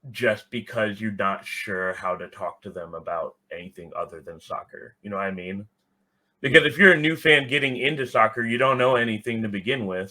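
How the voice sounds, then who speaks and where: Man, podcast guest, lowish pitch, bright sharp tone, casual, reflective, explanatory. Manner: reflective, explanatory, often checks understanding. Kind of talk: casual conversational interview answers.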